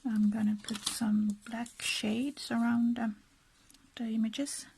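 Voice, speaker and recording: A native Swedish-speaking woman from Finland with a Finland-Swedish accent and a soft, breathy, husky voice, narrating her crafting casually in hesitant, unhurried murmured asides. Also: a little high, level tone, background noise. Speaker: craft vlogger.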